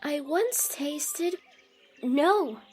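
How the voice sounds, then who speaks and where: A woman's voice: high voice, expressive, drawn-out words, darkish tone, soft background music, exaggerated voice. A woman playing a curious, polite little girl in an audiobook drama, speaking carefully. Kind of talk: scripted audiobook character acting.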